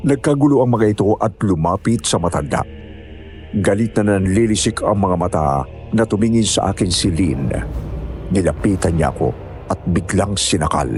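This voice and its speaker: Deep male narrator telling a scary story in first person, faint music behind. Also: moderate pace, a little mellow.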